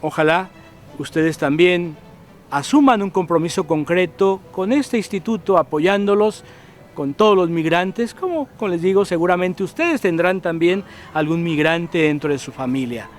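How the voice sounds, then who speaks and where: Guatemalan guy hosting a church tv show, fairly high voice, calm and preachy. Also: normal speed, soft background music. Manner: calm, exhortative, addresses viewers. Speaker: host of religious TV program. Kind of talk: pastoral presenter commentary.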